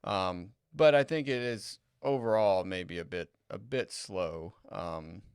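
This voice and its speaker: A man's voice: low-pitched, takes it slowly, clean tone, dry recording. A man co-hosting a podcast in casual chat, dry and teasing. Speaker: podcast co-host.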